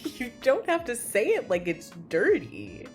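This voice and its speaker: A woman's voice: on the high side, huge pitch swings, measured pace, a little mellow, faint music behind. A woman acting in an audio drama as a frank, preoccupied young friend with a teasing side. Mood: teasing, reassuring.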